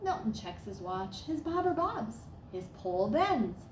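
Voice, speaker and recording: Woman, higher-pitched, expressive storybook read-aloud, roomy echo, background noise. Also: a little slow. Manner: expressive, dramatic emphasis. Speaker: staff storyteller.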